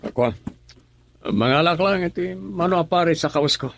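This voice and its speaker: An actor playing a young Ilocano husband in a radio drama, coaxing and evasive. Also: male voice, on the high side, normal speed, creaky, a bit bright, a little hiss or hum.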